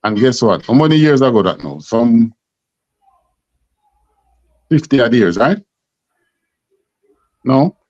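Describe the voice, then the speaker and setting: A man's voice: mid-range voice, lively intonation, takes it slowly, pauses often, darkish tone, no room sound. A man with a Jamaican accent teaching a scripture class, emphatic and full of rhetorical questions. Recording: dull lo-fi sound.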